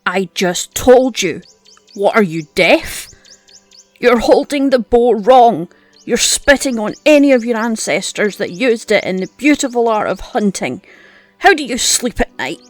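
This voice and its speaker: A woman's voice: a little high, animated, a little slow, dry recording. Woman doing a cranky old lady hunting mentor, blunt and nagging, audio drama, music underneath. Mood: scolding. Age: older. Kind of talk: audio roleplay drama acting.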